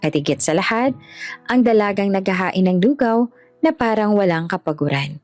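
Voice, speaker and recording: A woman's voice: mid-range voice, animated, normal speed, a little mellow, no echo. Woman narrating a story over music. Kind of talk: scripted audio story narration.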